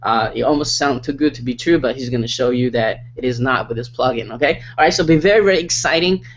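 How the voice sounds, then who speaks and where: A man's voice: mid-range voice, fast, muffled recording, a little hiss or hum. A man hosting an online interview, talking in a hyped, salesy way with lots of repeats and fillers. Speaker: interview host.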